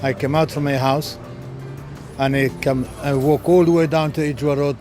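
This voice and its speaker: A man's voice: a little high, steady tone, moderate pace, darkish tone, faint music behind. An older man from Morocco, a community volunteer, talking cheerfully in plain, simple phrases. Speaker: community volunteer and school governor.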